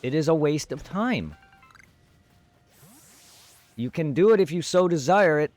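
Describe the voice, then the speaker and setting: A man's voice: a little high, lively intonation, unhurried, no echo. Man livestreaming, close and dry, music behind. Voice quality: normally very quiet voice. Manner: profane, blunt, repetitive. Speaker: live streamer. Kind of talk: unscripted livestream talk to chat.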